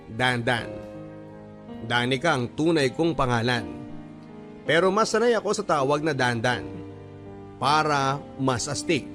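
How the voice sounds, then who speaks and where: A man with a Filipino accent playing a cocky young tomboy, narrating a letter in a measured, confessional way for a radio drama. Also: medium pitch, lightly acted.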